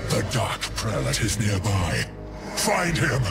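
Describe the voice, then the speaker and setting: An actor playing a zealous alien warlord who serves a dark god in a sci-fi game, gravelly, grave and intense. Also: male voice, lowish voice, wildly swooping pitch, slow, exaggerated voice. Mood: fierce command. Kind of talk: dramatic sci-fi game acting. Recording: loud music behind the voice.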